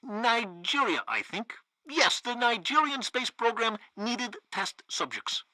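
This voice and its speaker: An actor playing a zealous, loyal regime radio host in a comedic video game, with a pompous, theatrical delivery. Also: male voice, very high-pitched, very animated, slow pace, pushed and bright, dry recording, full character voice. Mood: smug, earnest. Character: zealous loyal propaganda radio host, male, banana-republic sycophant.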